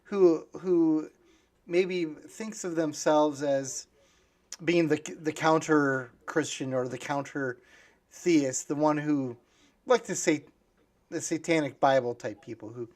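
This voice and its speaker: A man's voice: a little high, slow pace. A man, an author, talking in a casual discussion, hesitant and thinking aloud as he goes.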